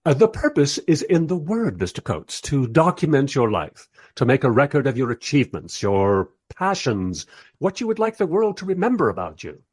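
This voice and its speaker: An actor playing a long-suffering documentary director in a radio play, narrating in a dry, sardonic, conversational and diplomatic way. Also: male voice, medium pitch, sing-song, moderate pace, breathy edge, no room sound. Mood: placating. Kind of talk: first-person radio play narration and dialogue.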